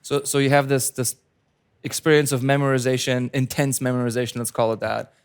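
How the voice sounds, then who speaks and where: A man hosting an interview, a native Farsi speaker with a mid-range voice. He asks easygoing questions and drops short, relaxed affirmations between answers. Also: medium pitch, a little fast, clean tone. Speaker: interviewer host.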